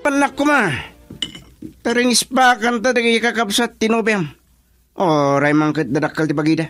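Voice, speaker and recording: A high-pitched Filipino father in a radio drama, protective of his family and quick to anger. He scolds and confronts whoever stands before him. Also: a bit bright, soft background music. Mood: threatening. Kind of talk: Ilocano radio drama acting.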